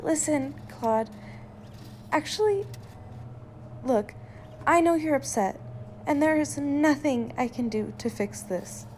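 A woman playing a caring, sensible scientist, wife and mother in an audio drama, with a gentle, earnest and expressive delivery. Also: high voice, slow, long pauses, a little hiss or hum. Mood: tender.

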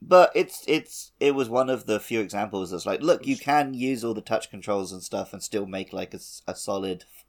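Male podcast host, lowish pitch, informal gaming chat. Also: expressive, normal speed.

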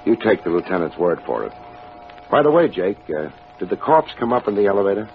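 Deep-voiced deadpan private eye. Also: male voice, brisk, slight background noise. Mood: dry, teasing. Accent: American. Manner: deadpan, wisecracking. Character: wry, unflappable, soft-hearted private detective. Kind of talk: 1950s radio detective drama acting. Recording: dull old radio recording, music behind.

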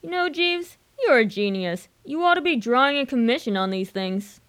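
A woman playing a breezy, optimistic upper-class young gentleman in a radio comedy, chatty and chummy with old-fashioned slang. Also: sits high, lively intonation, normal speed, clean tone. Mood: cheerful, admiring. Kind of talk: radio comedy drama acting.

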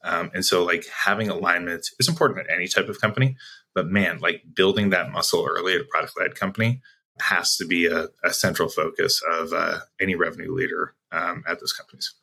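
A man's voice: low voice, fairly even intonation, brisk, creaky, sharp tone, slightly rough. A man answering interview questions as a sales executive guest, talking conversationally in a reflective, explanatory way.

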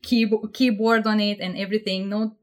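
A woman's voice: medium pitch, level tone, a little fast. A woman from Romania, talking casually as a podcast guest, laughing often and restarting her sentences with fillers. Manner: warm and giggly, with frequent fillers and restarts. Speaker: podcast guest, project management officer.

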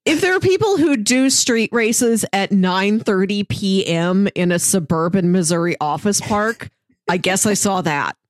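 A woman's voice: mid-range voice, expressive, measured pace, no echo. Female podcast co-host, wry, laughs easily, dry close mic. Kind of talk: casual podcast chat.

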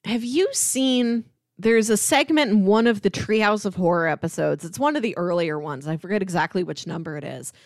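A woman co-hosting a podcast, casually retelling scenes like an animated storyteller. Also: mid-range voice, a little fast, no room sound, clean studio sound. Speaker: podcast co-host.

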